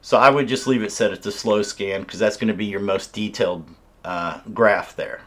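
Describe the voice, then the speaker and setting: A man's voice: on the low side, normal speed, a bit bright. A man giving a casual, conversational hands-on product demonstration, explaining things step by step. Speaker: radio reviewer and host.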